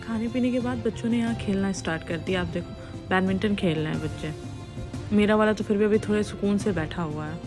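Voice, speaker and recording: A woman's voice: medium pitch, a little fast. Woman narrating a family vlog. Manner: chatty, mixing Hindi with English phrases. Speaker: vlogger. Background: faint music under the narration.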